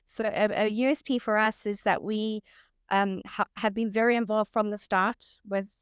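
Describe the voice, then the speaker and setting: A woman's voice: mid-range voice, steady tone, moderate pace, clear, resonant tone, no room sound, studio quality. South African woman, friendly podcast guest. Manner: friendly and upbeat. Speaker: guest, head of marketing. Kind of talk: casual interview chat.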